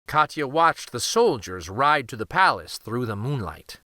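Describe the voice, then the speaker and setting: A man reading a children's picture book aloud in an expressive, deliberate, theatrical storytelling style. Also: mid-range voice, no room sound, studio quality.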